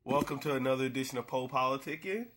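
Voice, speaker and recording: A man's voice: mid-range voice, fast talker, noisy background. A man hosting an interview, asking questions in a casual, loose way. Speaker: interview host.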